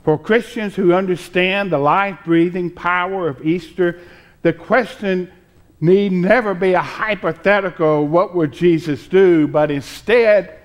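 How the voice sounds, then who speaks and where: An older man preaching a sermon from a pulpit, deliberate and emphatic, pausing between phrases. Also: high-pitched. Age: 60s or older. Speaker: pastor preaching. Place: church sanctuary, at a pulpit with choir behind.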